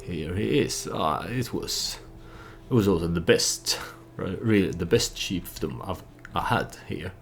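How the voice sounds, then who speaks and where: A man with a very low voice hosts a let's play in a casual storytelling style. He speaks hesitantly, thinking his choices through aloud. Faint noise sits behind his voice. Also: animated, a little slow, gravelly. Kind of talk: casual game commentary with storytelling.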